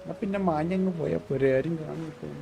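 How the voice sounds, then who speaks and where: A woman's voice: low-pitched, rapid-fire, creaky, over music. A woman, a native Malayalam speaker with a Malayali Indian accent, giving casual live commentary as she plays a game. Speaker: game streamer.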